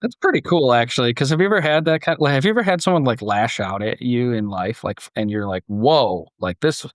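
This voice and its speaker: Guy hosting a podcast, chatty storyteller, normal pitch, close dry sound. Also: animated, fast, dry recording. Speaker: podcast host. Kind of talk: casual conversational podcast discussion.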